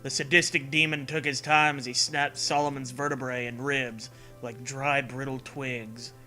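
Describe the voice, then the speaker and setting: A man's voice: mid-range voice, little pitch movement, normal speed, pushed and bright, faint music behind. A man reading a scary story as a narrator, with dramatic, earnest delivery. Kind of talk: dramatic scripted narration of a creepypasta.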